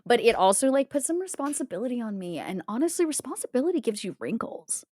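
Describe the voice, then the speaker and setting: A woman's voice: a little high, very animated, fast, darkish tone, no echo, clean studio sound. Woman playing a flippant, spoiled young mob heiress. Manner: valley-girl filler-heavy, breezy. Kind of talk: improvised tabletop roleplay.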